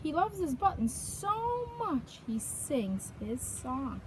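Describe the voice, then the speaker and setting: High-voiced woman reading slowly to young children. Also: background noise. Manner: sing-song, expressive, simple phrases for small children. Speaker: preschool teacher reading to children. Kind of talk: children's picture book read-aloud with counting questions.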